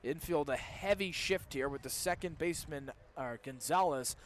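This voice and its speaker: Higher-pitched young man calling baseball. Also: normal speed. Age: young adult. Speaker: play-by-play announcer. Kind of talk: live baseball radio play-by-play. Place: ballpark during a live game. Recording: clear background noise, live ballpark broadcast.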